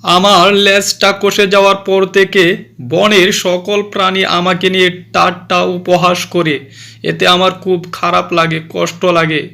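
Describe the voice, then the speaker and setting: A man's voice: high voice, little pitch movement, normal speed, gravelly, sharp tone, full character voice. A man voicing a downcast fox who is mocked for his cut-off tail. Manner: plaintive, self-pitying.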